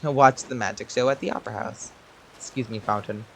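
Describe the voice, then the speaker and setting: A man's voice: medium pitch, steady tone, fairly quick, creaky, slight background noise, poor audio. A man giving casual, sweary commentary while playing a video game. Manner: swears freely, chuckly.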